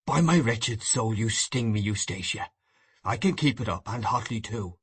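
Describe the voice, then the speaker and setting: A man playing a harsh, husky wronged husband. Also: on the low side, animated, moderate pace. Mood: enraged. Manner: hard, harsh and accusing. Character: grief-stricken enraged husband, accusing. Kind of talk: audiobook reading of a classic novel.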